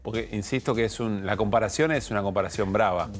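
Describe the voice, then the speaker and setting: A man's voice: fairly low pitch, fast, faint music behind. A man with an Argentine accent interviewing on TV, challenging the guest and cutting in with questions. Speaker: TV news interviewer.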